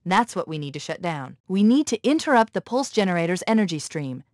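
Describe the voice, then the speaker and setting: A woman voicing a quick-witted, analytical military artificial intelligence in a video game, with a crisp, authoritative manner. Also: lowish voice, big pitch swings, darkish tone, no room sound, clean studio sound. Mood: analytical, focused. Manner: crisp, precise, authoritative briefing.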